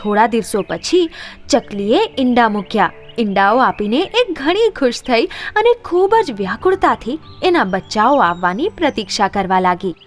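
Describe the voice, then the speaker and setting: A woman narrating scripted children's moral stories in an expressive storytelling manner. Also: on the high side, moderate pace, soft background music.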